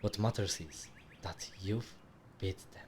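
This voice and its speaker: Male meditation guide, low register, soothing and measured with long pauses, birdsong ambience behind. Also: darkish tone, a little hiss or hum. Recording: clearly audible background ambience with birdsong. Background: birdsong and nature ambience.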